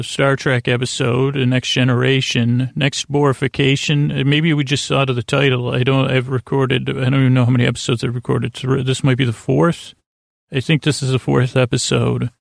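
Gravelly, meandering man hosting a bedtime podcast. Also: mid-range voice, dry recording, studio quality. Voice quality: creaky, gravelly. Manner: halting, digressive, with repeated stumbles and self-interruptions. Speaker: podcast host.

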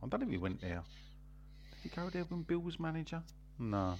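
A man's voice: on the high side, sing-song, brisk, a little mellow, highs cut off. A man hosting casual football banter, teasing and blunt as he reads out listener messages. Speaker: host of a football fan podcast.

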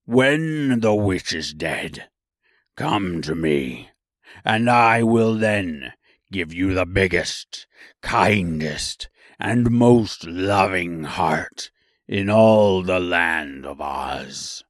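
A man voicing a huge, menacing beast in a storybook, with a gruff, growling roar and a slow, commanding delivery. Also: low voice, animated, no echo, studio quality, pitched below the performer's own voice, exaggerated voice. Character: huge terrible male beast, imperious and menacing.

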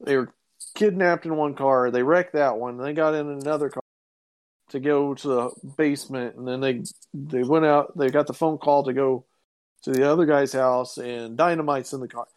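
A man's voice: mid-range voice, fairly even intonation, normal speed, smooth. A man co-hosting a casual chat, thinking aloud and puzzling things over in a conversational way. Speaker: podcast co-host. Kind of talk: casual chat reviewing old radio serials.

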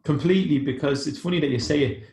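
A man's voice: on the high side, fast talker, a little mellow, echoey room. A young adult Irish man co-hosting a podcast in casual chat, laughing easily. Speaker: podcast co-host.

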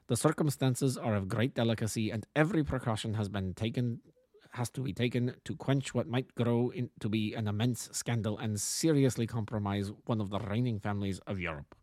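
A man voicing a huge, agitated king in his thirties with a German accent and a deep, harsh voice, speaking formally in a storybook reading. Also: darkish tone, no echo, close to an everyday voice. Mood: formal, secretive. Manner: formal, grandiose, deliberate. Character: agitated, imperious masked king in his thirties, gigantic nobleman.

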